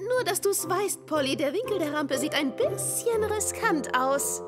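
A woman's voice: extremely high pitch, lively intonation, measured pace. A woman voicing a sweet, cautious, slightly awkward young girl in a German cartoon dub, with a hesitant manner. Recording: loud music underneath. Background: music.

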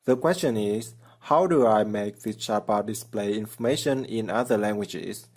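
A man's voice: lowish voice, moderate pace, a little mellow, slightly rough. Man narrating a coding tutorial plainly. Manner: plain step-by-step explaining, simple non-native phrasing. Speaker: programming tutorial channel host. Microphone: voice-over over screen recording.

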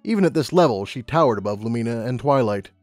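A man's voice: mid-range voice, expressive, fast talker, no room sound, soft background music. A man reading scripted fiction as an audiobook narrator.

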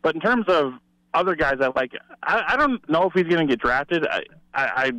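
A man's voice: fairly high pitch, big pitch swings, brisk, pushed and bright, no room sound, rough recording. A man giving conversational football analysis as a guest sports reporter.

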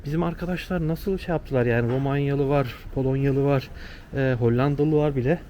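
A man's voice: medium pitch, brisk, dark tone. A man chatting casually and explaining things to a companion as a travel vlogger. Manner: explanatory, conversational. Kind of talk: casual on-location chat with companion. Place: outdoors on city streets. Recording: noisy outdoor city street, traffic.

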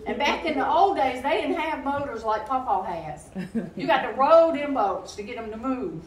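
A woman's voice: high-pitched, expressive, moderate pace. A woman telling a Bible story to children in simple, repeated phrases, coaching them to respond, recorded from across a church sanctuary. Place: church sanctuary stage, indoors. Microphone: recorded by a distant audience camera. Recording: distant, echoey church hall, noisy room. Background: children and room noise.

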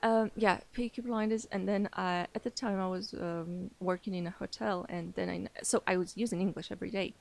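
Young female podcast guest, native Armenian speaker, gravelly fry, chatty with lots of fillers. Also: medium pitch, steady tone, fairly quick, hardly any pauses, a little mellow. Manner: chatty, laughs often, many fillers and restarts. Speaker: podcast guest, English teacher. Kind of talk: casual interview chat.

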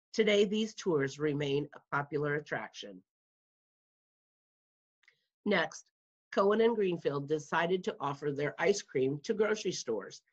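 Husky-voiced woman reading aloud. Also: low-pitched. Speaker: school media specialist reading aloud. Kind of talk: read-aloud of a children's nonfiction book. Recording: dull, muffled lo-fi home recording.

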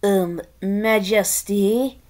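Woman as a polite, slow-spoken pig chef. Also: mid-range voice, darkish tone. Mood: deferential. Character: deferential, scheming male pig chef.

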